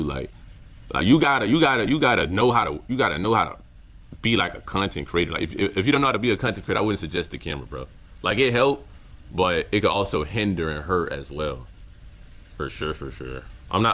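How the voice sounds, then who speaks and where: A young man with a very low, deep voice talks to his camera about what he has learned. His speech is casual and slangy, full of halting restarts and repeated words. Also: fairly bright tone. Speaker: content creator. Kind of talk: reflective casual vlog monologue.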